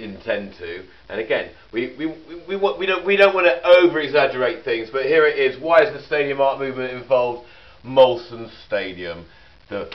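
A man giving a rambling, joking walkthrough of his own mural in an indoor studio room, talking away from the camera. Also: lowish voice. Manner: rambling, joking, trails off into asides. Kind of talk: casual narrated walkthrough. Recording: dull lo-fi camcorder audio.

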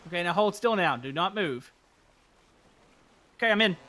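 A man's voice: high voice, expressive, normal speed, sharp tone. A man chatting casually with his friends during a multiplayer video game. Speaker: gamer playing with friends. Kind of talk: casual multiplayer gaming chat.

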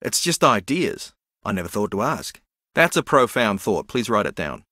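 A man's voice: lowish voice, very animated, moderate pace, bright, forceful tone, no room sound, studio quality, heavily acted. An actor playing a dreamy, easily confused young man in a video game, earnest, naive and meandering as he muses. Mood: philosophical.

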